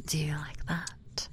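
A woman in an intimate audio roleplay, speaking in a soft, breathy whisper that stays slow and close. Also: deep voice. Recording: steady background hiss.

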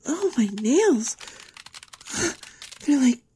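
A woman's voice: mid-range voice, big pitch swings, takes it slowly, darkish tone, a little hiss or hum. A young woman playing a vain, catty, ditzy blonde popular girl in an audio roleplay, with a drawling valley-girl manner full of filler words. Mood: uneasy. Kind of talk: first-person audio roleplay monologue.

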